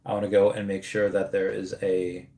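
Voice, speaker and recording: A man in his thirties chats loosely on a livestream, starting sentences over and wandering between thoughts. His voice sits a little low, and the room gives it a noticeable echo. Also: flat delivery, moderate pace, warm tone. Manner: rambling, many restarts, laughs at comments. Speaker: streamer host. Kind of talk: casual livestream chat reading comments.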